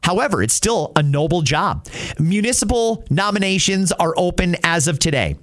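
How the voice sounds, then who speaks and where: A man's voice: sits high, expressive, moderate pace. A middle-aged man hosting an opinionated talk show in an emphatic, conversational way. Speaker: podcast host. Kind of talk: opinionated talk radio commentary.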